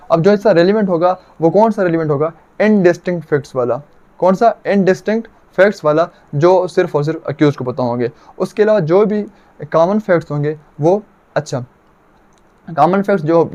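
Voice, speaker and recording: A Pakistani man with a fairly high-set voice delivers an explanatory lecture. He teaches in a didactic way, slipping into English legal terms. He often asks a question, answers it himself and repeats the key phrase for emphasis. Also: a little mellow, lo-fi sound. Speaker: law lecturer.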